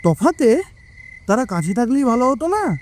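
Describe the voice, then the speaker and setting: A man's voice: extremely high pitch, sing-song, normal speed. An actor playing a fussy, timid, food-loving policeman with a grumbling manner in an audio story. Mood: anxious indignant. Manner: grumbling, questioning, exclamatory.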